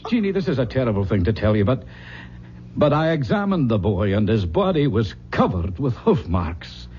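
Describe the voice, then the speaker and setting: An actor playing a brisk, grave country doctor in a radio drama, blunt and authoritative. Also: male voice, medium pitch, very animated, darkish tone, natural voice. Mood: shaken, grave. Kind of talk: 1970s radio drama acting. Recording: dull, noisy old radio recording.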